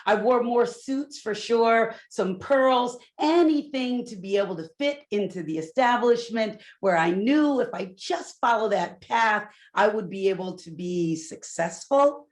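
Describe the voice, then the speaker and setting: A middle-aged woman from Newport, Rhode Island, a loud and candid corporate executive telling her career story on a panel with asides to the audience. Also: on the high side, lively intonation, measured pace, hardly any pauses. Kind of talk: panel talk, personal story.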